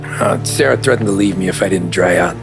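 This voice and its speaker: A man playing a low-key, dutiful mobster and loyal driver in a crime video game, casual and understated in delivery. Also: a little fast, creaky, over music. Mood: casual, deferential. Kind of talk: cinematic video game acting.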